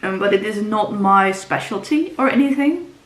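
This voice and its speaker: A woman's voice: mid-range voice, animated, normal speed, a bit bright. Rambling woman. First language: Dutch. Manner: rambling, frequent ums and restarts. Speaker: book vlogger. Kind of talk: casual book talk to camera. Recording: roomy echo, faint ambience.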